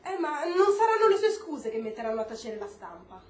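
An actress playing an icy, commanding government secretary in a radio play, speaking formally and cuttingly. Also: female voice, sits high, sing-song, brisk, a little mellow. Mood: stern, cutting. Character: icy, commanding American government secretary, woman, rebuking an officer. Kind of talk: stage-style radio drama acting. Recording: roomy echo, faint noise.

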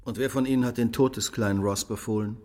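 An actor playing an incisive, courteous and commanding gentleman detective in a radio play, formal and probing. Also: mid-range voice, steady tone, fast talker, close to an everyday voice.

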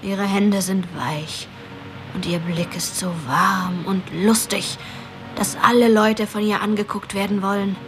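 Slow, dreamy girl, acted by a woman. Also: mid-range voice, fairly even intonation, over music, natural voice. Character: dreamy, romantic young girl play-acting wounded soldiers. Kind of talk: German radio drama acting.

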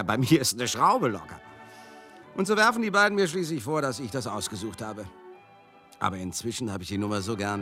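An actor playing a chatty, jovial, sentimental showbiz manager in a radio drama monologue, effusive and confiding. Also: male voice, mid-range voice, a bit bright. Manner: effusive, confiding, addresses listeners as darlings.

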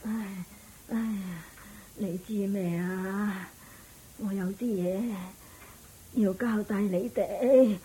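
Woman acting old ailing mother, Hong Kong Cantonese, weary sighs, slow halting delivery, faint noise, radio play. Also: medium pitch, fairly even intonation, dark tone, natural voice. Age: older. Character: frail, ailing, insistent old mother putting affairs in order. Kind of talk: Buddhist radio drama acting. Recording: noisy old radio drama recording.

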